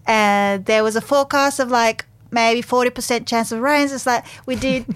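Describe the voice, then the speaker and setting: A woman's voice: on the high side, fairly even intonation, moderate pace, smooth. A woman hosting a casual radio interview, talking as a presenter to her guest. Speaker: radio show host and interviewer.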